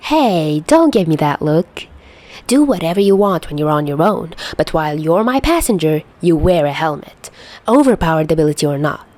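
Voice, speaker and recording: A woman's voice: sits low, sing-song, moderate pace, darkish tone. Girl doing a cocky young biker dude, chatty n teasing, bossy, super up-and-down voice. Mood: firm, instructive. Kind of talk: fan fiction audiobook character voice.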